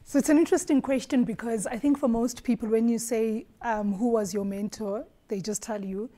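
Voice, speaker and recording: A woman from Eswatini on a studio panel, telling stories in an animated way and laughing often, recorded on a lapel mic. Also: fairly high pitch, fairly quick. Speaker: marketing executive panelist. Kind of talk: roundtable discussion. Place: dark studio set at a round table.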